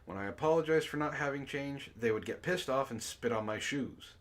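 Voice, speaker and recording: A man's voice: mid-range voice, fairly quick. A man hosting a book club stream, reading aloud and chatting casually. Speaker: host and reader of a comic book club stream.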